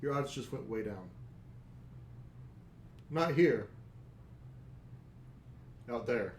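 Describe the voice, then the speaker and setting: A man's voice: medium pitch, lively intonation, drawn-out words, darkish tone, echoey room, a little hiss or hum. A man voicing a proud female werewolf pack leader, curt and guarded.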